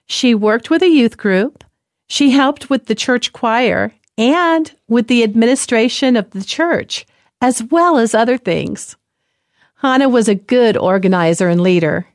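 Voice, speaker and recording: A woman narrating a story in a gentle, clear, measured reading style. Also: fairly high pitch, animated, dry recording, clean studio sound. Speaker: presenter.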